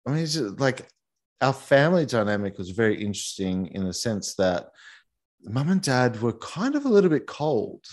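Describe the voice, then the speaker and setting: A man's voice: medium pitch, big pitch swings, moderate pace, darkish tone. A man, a guest in an interview, talking casually and rambling with many fillers and restarts. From Australia. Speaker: guest, massage teacher for couples.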